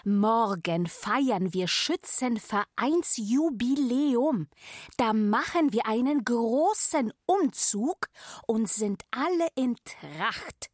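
An actress playing a chatty provincial occupational therapist in a radio drama, speaking with emphatic distinctness as if to a foreigner. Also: female voice, a little high, lively intonation, takes it slowly, dry recording, clean studio sound. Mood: chatty, patronising.

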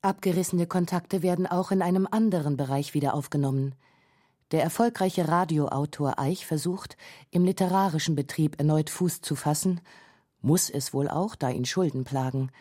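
A woman reads scripted radio documentary narration. Her voice is low and dark, and her delivery is composed and factual. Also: normal speed.

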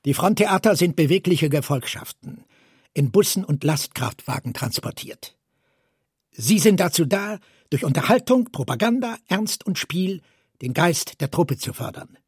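A male narrator reads literary text in a fairly high register for a man. The voice is husky and recorded close and dry, without room sound. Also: sing-song, measured pace, a little mellow, no echo. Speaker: narrator reading literary prose.

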